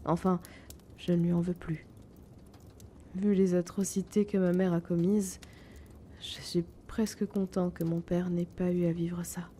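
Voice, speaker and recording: Timid young potion-seller man, female performer: slow, mellow, soft and confiding, fantasy audio drama. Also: lowish voice, little pitch movement, a little hiss or hum, lightly acted. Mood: sad, fearful. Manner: soft, hesitant, confiding, often breathy.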